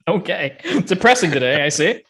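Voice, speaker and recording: A man's voice: a little high, animated, moderate pace, a bit bright, slight background noise. A man hosting a casual chat, talking in a slangy, opinionated and rambling way. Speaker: podcast host. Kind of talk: casual chat about games and films.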